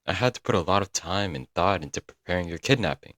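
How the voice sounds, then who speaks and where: Man acting as deep-voiced lovesick broke kidnapper. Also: lively intonation, fast talker, fairly bright tone, no echo. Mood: sheepish, affectionate. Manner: coaxing, rambling, pet names, nervous chuckles. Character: lovesick broke young male yandere kidnapper, doting, apologetic. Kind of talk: comedic roleplay audio drama, intimate monologue to listener.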